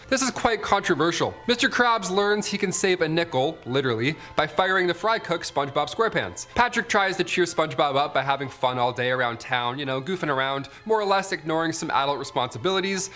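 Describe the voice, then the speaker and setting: A man's voice: on the high side, bright, forceful tone. A man hosting a top ten countdown, narrating conversationally with wry, joking asides and mimicked reactions. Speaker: top ten list video host. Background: background music.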